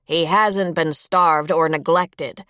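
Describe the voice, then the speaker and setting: Audiobook role: irritable older woman, played by a female narrator, low-pitched, curt, complaining, sore and tired. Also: fairly even intonation, takes it slowly, fairly bright tone, smooth, no room sound, studio quality. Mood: irritated, complaining. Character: irritable, pained older woman, weary and dismissive. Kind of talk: audiobook character dialogue.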